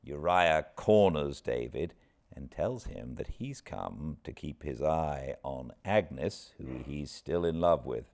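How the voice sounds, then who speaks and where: A British man hosting an informal book recap with an expressive storyteller's delivery. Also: very deep voice, slow. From Britain. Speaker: host, audiobook narrator.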